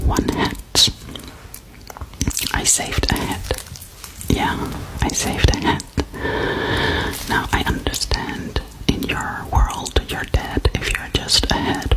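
A woman voicing a gentle, soft-spoken female alien girl in an ASMR roleplay, whispering slowly and reassuringly right into a close microphone in a small room. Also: lightly acted, poor audio. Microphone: studio microphone held very close.

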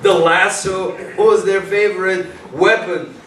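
A man playing a polite, inquisitive young police detective in a staged play reading, with a measured, probing manner. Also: sits high, fairly even intonation, a bit bright. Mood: wry. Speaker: stage actor. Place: small indoor venue with audience. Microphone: far camera. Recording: echoey room, distant mic, faint noise.

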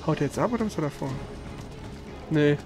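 A man's voice: a little high, animated, brisk, a little mellow. A man giving casual, blunt and irritable commentary as he plays a video game. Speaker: let's player. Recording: game music behind. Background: video game music.

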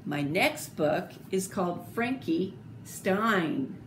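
An older woman reading a children's picture book aloud in an expressive, deliberate, theatrical way, with little asides. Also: on the low side. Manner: slow, deliberate, theatrical phrasing. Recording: clearly noisy home video recording.

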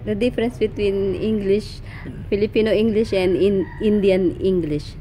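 A woman interviewing someone in a casual way, asking short questions and adding quiet backchannels. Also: medium pitch, steady tone, normal speed, a little hiss or hum, low-quality recording. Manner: short prompts and murmured backchannels.

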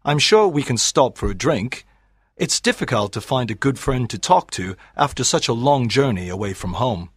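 Man playing raspy, scheming detective. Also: mid-range voice, lively intonation, normal speed, no room sound, clean studio sound. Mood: coaxing. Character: scheming male detective, smooth-talking and determined. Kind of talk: dramatized audiobook acting.

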